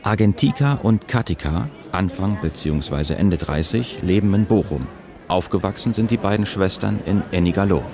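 A man reading a scripted documentary narration in a calm, measured way. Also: deep voice, crowd noise. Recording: voice-over with music behind.

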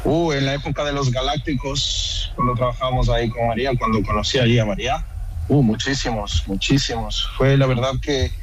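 A man's voice: medium pitch, steady tone, brisk, crowd noise, poor audio. A middle-aged man with a Madrid Spanish accent, a restaurant owner who talks casually as a guest, often restarting and repeating words. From born in Ecuador, raised in Madrid, Spain. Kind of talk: casual interview chat.

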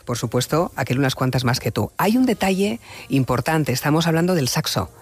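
A man hosting a late-night radio interview, speaking in a measured, reflective way and leading his guest with questions. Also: fairly high pitch, lively intonation, husky. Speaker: radio host.

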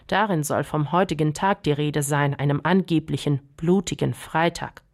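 A woman with a low voice files a radio news report. She speaks in a measured, formal way, one sentence after another. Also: darkish tone. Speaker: radio correspondent.

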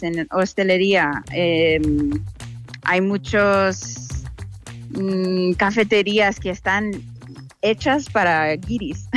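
A woman from the United States with an American English accent, a native English speaker, giving a casual interview in a chatty way and slipping in English words. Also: mid-range voice. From United States (Chicago). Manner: chatty, slips in English words, acts out quotes. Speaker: content creator, interviewee.

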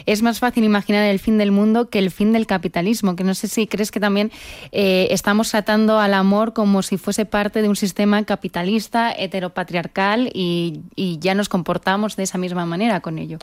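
A woman hosts a conversational interview about the arts. Her voice is clean and smooth at an average pitch, recorded very dry with no room sound. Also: fairly even intonation, brisk, no room sound. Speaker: interviewer, host.

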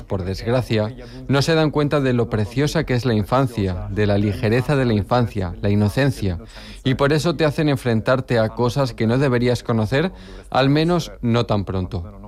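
A man's voice: mid-range voice, a little mellow. A man giving a translated voice-over of an interview, in the role of interpreter.

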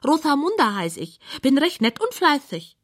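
A squealing, self-important little pig from a children's bedtime story. The voice sits high and swoops widely, theatrical and excitable, a lonely creature very pleased with its rhymes. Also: female voice, moderate pace. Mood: showy, welcoming. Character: excitable, vain, lonely pink pig who loves rhyming.

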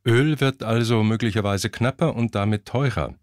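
A man's voice: fairly low pitch, normal speed, no echo, clean studio sound. A man presenting a scripted radio news bulletin.

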